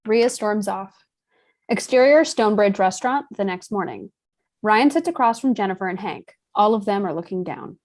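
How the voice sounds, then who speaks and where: A woman's voice: medium pitch, animated, normal speed, darkish tone. A woman reading stage directions as scripted narration for a screenplay table read.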